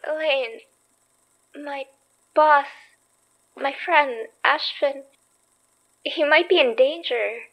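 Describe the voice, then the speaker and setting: A woman playing an anxious, loyal young heroine in an audio drama, with halting, stammering speech. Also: high-pitched, a bit bright, exaggerated voice, poor audio. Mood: worried, pleading.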